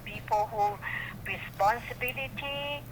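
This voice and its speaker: A woman working as a call center representative, speaking haltingly on a customer service phone call. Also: a little high. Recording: noisy telephone line.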